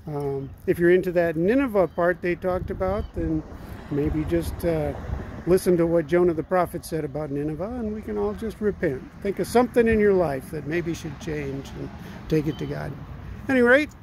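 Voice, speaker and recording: A man's voice: fairly high pitch, moderate pace, a little mellow, rough recording. A man, chatting casually and folksily to camera as he explains his radio hobby outdoors. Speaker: ham radio hobbyist vlogger. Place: outdoors in a residential front yard. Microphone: phone at arm's length. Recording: outdoor background noise.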